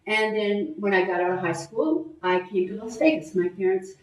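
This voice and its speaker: A woman's voice: mid-range voice, lively intonation, moderate pace. An older woman from Texas, a guest speaker and author, telling her life story in a casual interview. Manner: chatty storytelling with easy laughs. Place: live-stream interview set. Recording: echoey room, mic far off.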